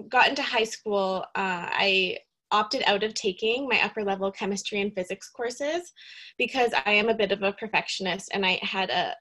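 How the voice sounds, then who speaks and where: A woman's voice: mid-range voice, sharp tone. A young woman from Calgary who works in student recruitment and introduces herself earnestly, with many "um" fillers. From Calgary, Canada. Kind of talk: casual self-introduction.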